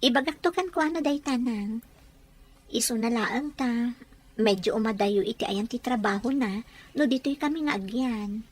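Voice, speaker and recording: A woman's voice: a little high, breathy edge. A woman playing a sweet, fussing fiancée. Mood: earnest. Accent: Ilocano. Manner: sweet, fussing, quick and playful. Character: affectionate young bride-to-be.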